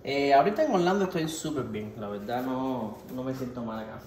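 A man's voice: medium pitch, a little fast. Male vlogger, twenties, Cuban accent, informal and playful, recorded in an echoey home kitchen. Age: 20s. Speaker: cooking vlogger. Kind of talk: casual question-and-answer vlog. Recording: echoey home kitchen, faint background noise.

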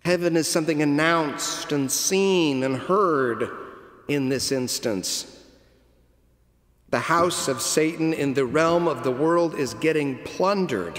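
A middle-aged man delivers a sermon from a cathedral pulpit microphone in a slow, measured way. His voice sits at an ordinary pitch, and long reverb trails behind each phrase. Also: a bit bright. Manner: slow, deliberate storytelling with dramatic pauses. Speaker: cathedral dean preaching. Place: large stone cathedral, at a pulpit.

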